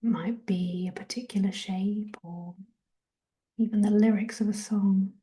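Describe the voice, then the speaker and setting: Very slow, soft, mellow woman guiding relaxation. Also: mid-range voice, fairly even intonation. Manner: soft, breathy, half-whispered, gentle. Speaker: guided relaxation and meditation host. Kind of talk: guided meditation, soothing body scan instruction.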